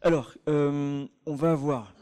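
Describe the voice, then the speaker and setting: A man presenting a book platform at a meetup, speaking haltingly, with many fillers and restarts. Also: fairly high pitch, fairly even intonation, mellow, clean tone. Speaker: startup co-founder presenting at a meetup. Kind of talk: conference presentation.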